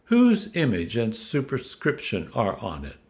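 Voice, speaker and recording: A man voicing a calm, firm, shrewd male teacher in a scripture reading, measured and emphatic. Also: mid-range voice.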